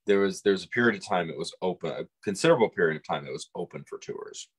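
A middle-aged man with a husky voice, chatting casually as co-host on a video call. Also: deep voice, expressive, very fast. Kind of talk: casual conversation. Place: room at home, on a video call. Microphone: device mic on a video call.

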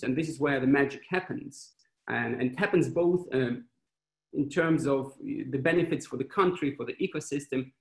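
Chatty man on an echoey video call. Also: fairly high pitch. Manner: animated, hand-waving, many fillers and restarts. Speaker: venture fund panelist. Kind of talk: webinar panel answer. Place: small indoor room with wall clock. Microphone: laptop webcam video call.

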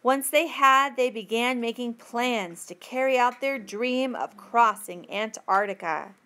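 A woman with a fairly high voice reads a school passage aloud. She goes very slowly, phrasing each part carefully and deliberately, in the style of a teacher reading to learners. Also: sharp tone. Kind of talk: slow read-aloud of a textbook passage.

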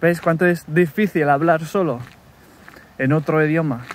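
A man in his thirties from England, his native tongue English, talking to himself in a halting, self-correcting way while walking a wooded path, filming on a phone held at arm's length. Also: on the high side, fairly even intonation, slight background noise. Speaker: language learner recording a practice podcast. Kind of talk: casual spoken practice monologue. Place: wooded countryside path outdoors.

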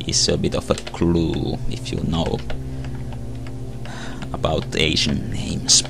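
A man slowly thinking aloud with many hums and pauses while playing a video game. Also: very deep voice, completely flat delivery, gravelly, music in the background. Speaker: let's play host. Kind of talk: casual gameplay commentary.

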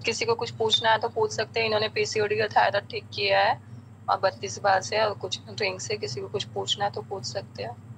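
A woman's voice: mid-range voice, level tone, brisk. A woman hosting a casual live chat, reading out viewer comments and asking short questions. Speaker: live-stream host. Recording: faint background noise on live call.

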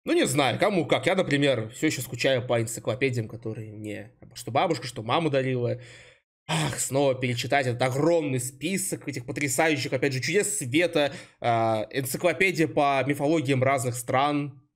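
A man's voice: mid-range voice, normal speed. A young man giving casual reaction commentary, musing aloud and swearing freely. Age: young adult. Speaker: reaction video host. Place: indoor home streaming setup.

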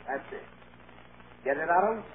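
An actor playing a cynical male Hollywood press agent, brisk and wisecracking, in a vintage radio drama. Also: fairly high pitch, expressive, lightly acted. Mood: brisk, businesslike. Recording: scratchy, tinny old radio broadcast.

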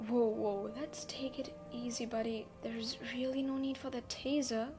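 A woman's voice: fairly high pitch, fairly even intonation, moderate pace, close to an everyday voice. A woman acting an easygoing, teasing gamer captive in an audio roleplay, speaking in a playful, nonchalant, conversational way. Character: easygoing, teasing female gamer held captive, unbothered adult. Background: background music.

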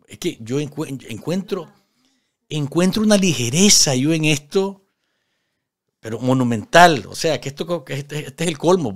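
A man in his forties with a Nicaraguan accent, giving live political commentary as a host, emphatic, repeating phrases and asking rhetorical questions. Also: a little high. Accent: Nicaraguan Spanish. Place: small indoor studio at a desk. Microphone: desk studio microphone.

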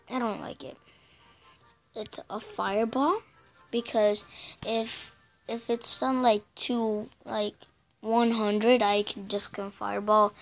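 Raspy woman channel host, slow and hesitant, mumbling asides between louder bursts. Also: mid-range voice. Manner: halting and filler-heavy, drifting between loud bursts and mumbles. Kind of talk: casual game commentary over a screen recording.

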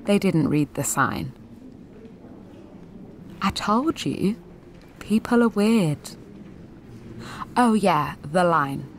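A woman's voice: lowish voice, lively intonation, drawn-out words, long pauses, slight background noise, heavily acted. An actress in her twenties playing a jaded, sweary, sensitive gallery attendant in a confessional audio drama monologue, wry and self-deprecating. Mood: exasperated. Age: 20s.

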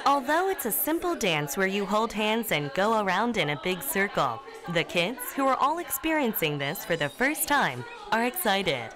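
A woman reading a documentary narration in an even, formal manner. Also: mid-range voice, moderate pace, hardly any pauses. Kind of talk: scripted narration. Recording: voice-over with music underneath.

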